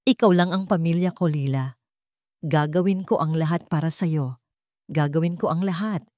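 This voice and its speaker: A woman's voice: deep voice, moderate pace, warm tone, dry recording, studio quality, natural voice. A woman with a Filipino accent voicing a poor, protective young man, an elder brother, in an earnest, tender way for an audio drama. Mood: earnest, devoted.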